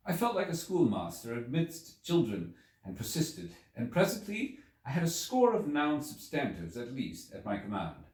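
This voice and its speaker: A man reading a literary story aloud as an audiobook narrator, with an expressive, measured, theatrical delivery and deliberate pauses. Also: medium pitch. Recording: roomy echo, distant from microphone.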